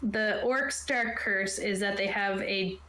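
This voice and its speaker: A woman's voice: medium pitch, takes it slowly, bright, forceful tone, roomy sound. Female game master, casual and chatty, explaining rules on an online call. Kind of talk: casual tabletop game prep, reading rules aloud. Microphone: own microphone on an online voice call.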